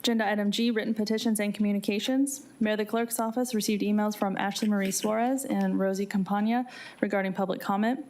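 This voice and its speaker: A woman's voice: mid-range voice, fairly even intonation, fast, a little mellow. Woman reading formal official announcements. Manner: procedural, official read-aloud delivery. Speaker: city clerk reading meeting announcements.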